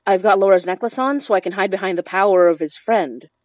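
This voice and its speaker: Determined young female occultist acted by a woman, medium pitch, casual delivery, dry podcast drama sound. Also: quick pace, dry recording, close to an everyday voice. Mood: serious. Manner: casual, instructive, self-possessed. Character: determined, informal young woman who summons spirits. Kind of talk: horror audio drama acting. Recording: dry, slightly dull studio sound.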